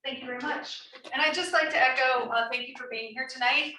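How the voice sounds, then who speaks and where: A woman facilitating a public town meeting in a loud, brisk, self-assured way, heard from a distance in a meeting room. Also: sits high, steady tone. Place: senior center meeting room. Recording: echoey room, distant mic, faint hiss.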